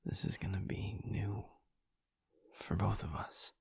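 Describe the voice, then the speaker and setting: A man playing a gentle, devoted vampire lover in an intimate audio roleplay, speaking in a breathy, husky hush with slow, halting, tender pauses, close to the microphone. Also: on the low side, steady tone, heavily acted. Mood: tender, careful. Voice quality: breathy, husky, often whispering. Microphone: close microphone, dry.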